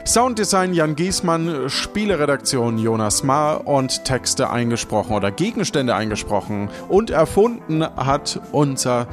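A man hosting a podcast, speaking casually and unscripted, sometimes halting and repeating words. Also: medium pitch, expressive. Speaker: podcast host. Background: background music.

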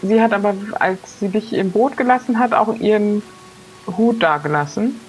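A woman's voice: medium pitch, expressive, moderate pace, faint music behind, low-quality recording. A woman playing in an improvised role-play, speaking in casual in-character conversation. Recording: faint background hiss.

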